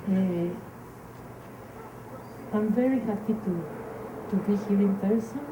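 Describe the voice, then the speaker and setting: A Spanish-speaking woman with a Mexican accent, a poet addressing a live gathering in halting speech with many fillers and restarts. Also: medium pitch. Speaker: invited poet. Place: community hall. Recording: echoey hall, noisy room.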